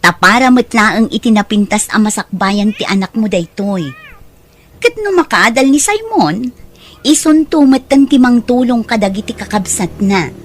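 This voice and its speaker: A woman with an Ilocano accent playing a persuasive, caring aunt in an audio drama, with an expressive, coaxing delivery. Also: on the high side, moderate pace, a little hiss or hum, natural voice. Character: Auntie Mikaela, persuasive, caring female relative.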